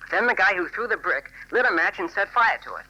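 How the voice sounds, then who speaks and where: Cub reporter teen: keen and sincere, high-edged sharp tone, 1940s radio acting. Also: male voice, lively intonation, brisk, dull audio, heavily acted. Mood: earnest, urgent. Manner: earnest, boyish, eager storytelling. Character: eager, earnest teenage cub reporter boy.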